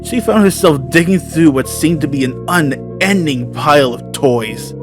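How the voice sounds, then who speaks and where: A man's voice: a little high, expressive, unhurried, music in the background. Man solemnly narrating a horror story.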